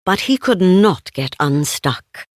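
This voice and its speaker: A woman's voice: fairly low pitch, big pitch swings, slow pace, dry recording, clean studio sound. A woman narrating a children's story, reading expressively from a script as a storybook narrator.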